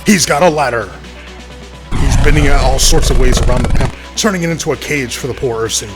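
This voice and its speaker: Man playing a mid-pitched wrestling commentator over music. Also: fairly quick, close to an everyday voice. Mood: excited. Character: earnest male wrestling co-commentator. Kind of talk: scripted play-by-play commentary.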